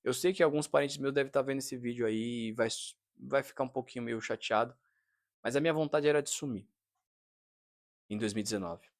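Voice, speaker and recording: A man's voice: mid-range voice, fairly quick. A man, talking earnestly to camera in a casual vlog and confiding in his viewers. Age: 30s. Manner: earnest, confiding, frequent "né?" tags. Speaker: vlogger, fitness trainer.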